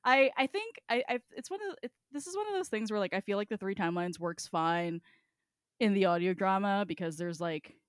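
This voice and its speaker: A woman's voice: medium pitch, expressive, a little fast, clean tone, no room sound. Casual, irreverent woman podcaster, dry close sound. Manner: irreverent, slangy, jokey. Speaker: podcast co-host.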